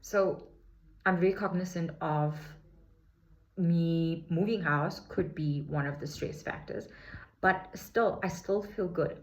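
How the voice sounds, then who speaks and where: A woman in her forties talking reflectively in a casual personal vlog, unhurried and full of fillers and restarts. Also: on the low side, darkish tone, poor audio. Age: 40s. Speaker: vlogger sharing her healing journey.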